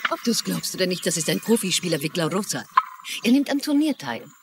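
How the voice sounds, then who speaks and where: Wry, very lively woman. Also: fairly low pitch, fairly quick. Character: wry middle-aged divorced woman, sardonic and affectionate. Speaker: dubbing actor. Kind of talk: dubbed TV crime drama. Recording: faint background noise.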